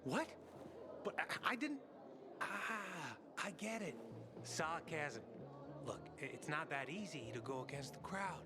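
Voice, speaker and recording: Game role: sheepish townsman, slowish, faltering, defensive, slightly high pitch. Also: very animated. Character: hesitant, apologetic townsman among wary survivors. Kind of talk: game cutscene acting. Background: music.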